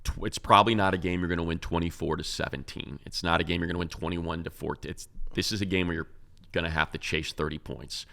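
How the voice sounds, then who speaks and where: A man with a very deep voice full of gravelly fry talks football as a show's co-host. His tone has a bright, forceful edge. He rambles through animated analysis, often restarting his sentences. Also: fast talker. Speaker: radio play-by-play announcer, co-host. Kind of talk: casual sports talk.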